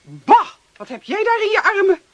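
An actress playing a strict, fussy aunt who scolds and orders the children about. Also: female voice, very high-pitched, big pitch swings, moderate pace, a bit bright, dry recording, muffled recording, exaggerated voice. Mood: scolding. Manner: scolding, bossy.

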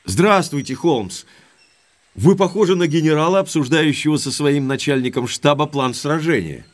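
An actor playing an earnest, uneasy baronet in an audio drama, speaking expressively and with some deference. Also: male voice, fairly high pitch, expressive, normal speed, natural voice. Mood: cordial.

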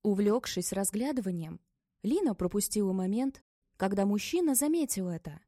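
Mellow-voiced woman reading an audiobook. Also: medium pitch, expressive, moderate pace, no echo, studio quality. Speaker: audiobook narrator. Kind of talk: scripted audiobook narration.

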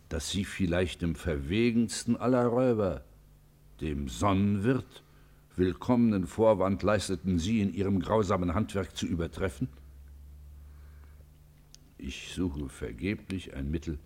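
A stern priestly confessor in a classical radio play. The voice is low, and the delivery is solemn and measured. He moralises reproachfully in formal literary language. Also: a little mellow.

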